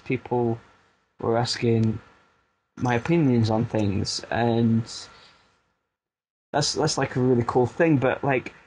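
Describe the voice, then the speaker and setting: A man's voice: medium pitch, darkish tone, a little hiss or hum, rough recording. Rambling Barnsley-accented man. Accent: Yorkshire, Barnsley. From Barnsley, England. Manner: rambling, self-deprecating, frequent restarts and fillers. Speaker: music producer turned coach. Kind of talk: casual podcast interview.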